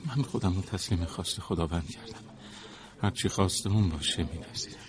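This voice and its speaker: An actor playing a devout, remorseful middle-aged husband condemned by a court, pleading and solemn in a drama. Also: male voice, sits low, close to an everyday voice. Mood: resigned, soothing. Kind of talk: dramatic acting.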